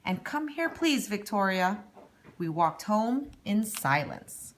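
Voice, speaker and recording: A woman's voice: mid-range voice, sing-song, slow, slight background noise. A woman voicing a snobbish, bossy middle-aged mother in a storybook read-aloud, haughty and commanding.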